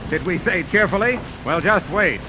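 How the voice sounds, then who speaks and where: A man works as a 1930s radio announcer, reading with theatrical showmanship. His voice sits high for a man. The old broadcast recording has clear background noise. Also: expressive, moderate pace. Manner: showy, alliterative, theatrical.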